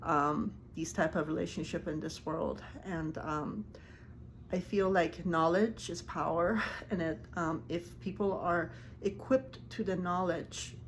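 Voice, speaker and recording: A woman whose first language is Farsi talks to camera as a life coach, her voice sitting slightly low. She is earnest and halting, with plenty of ums and restarts. Faint noise hums under the recording. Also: steady tone. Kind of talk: casual talk to camera.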